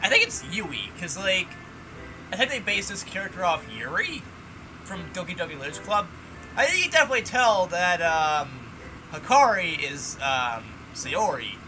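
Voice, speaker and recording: A man reading a visual novel aloud and breaking off into animated, sweary commentary. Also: sits high, moderate pace, over music, poor audio. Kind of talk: reading game text and ranting commentary.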